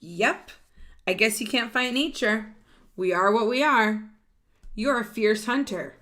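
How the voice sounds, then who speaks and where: A woman's voice: a little high, measured pace. A woman voicing a boastful, hungry wolf in a read-aloud picture book. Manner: loud, boastful.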